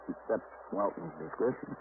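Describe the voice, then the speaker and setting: A man playing a gruff, grumbling American police detective in a 1950s radio drama, exasperated and defensive. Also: deep voice, animated, normal speed. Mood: weary, irritated. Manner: grumbling, hesitant when defensive. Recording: tinny old radio recording, faint noise.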